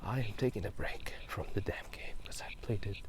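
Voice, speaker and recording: A man whispering a casual, rambling ASMR chat in a halting, unhurried way while out walking through a town at night. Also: on the low side. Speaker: ASMR video host.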